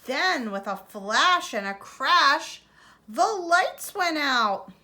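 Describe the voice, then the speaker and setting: A woman preschool teacher reading a children's storybook aloud in an expressive, deliberate way. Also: high-pitched. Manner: animated, deliberate delivery for young children.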